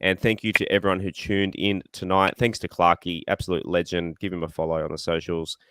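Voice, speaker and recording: An Australian man hosting a casual fan podcast, rambling and excitable, his voice slightly croaky. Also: low voice, brisk, no room sound. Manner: rambling, excitable, self-deprecating. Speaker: podcast host.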